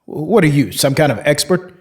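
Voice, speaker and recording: Man acting a higher-voiced, desperate, guarded man. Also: animated, a little mellow, natural voice. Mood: wary, irritated. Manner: halting, guarded. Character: desperate, defensive, guilt-ridden man in trouble. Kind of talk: audio drama acting.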